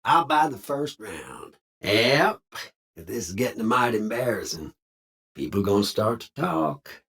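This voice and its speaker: A man playing a jovial, slyly evasive cowboy robot in a video game, with a husky Southern American drawl and folksy slang. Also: on the low side, very animated, a little slow, a bit bright, exaggerated voice. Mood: wry, friendly. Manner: folksy slang, theatrical swooping delivery. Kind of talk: video game character dialogue.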